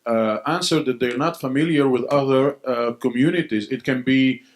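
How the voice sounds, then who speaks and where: A man from Israel speaking on a panel, earnest and halting, often searching for English words. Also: medium pitch, steady tone. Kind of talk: answering on a panel Q&A.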